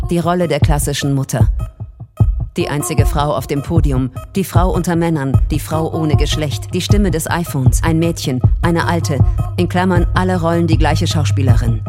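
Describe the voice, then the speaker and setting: A woman delivering a scripted performance monologue in a measured, deliberate, declarative way. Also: low-pitched.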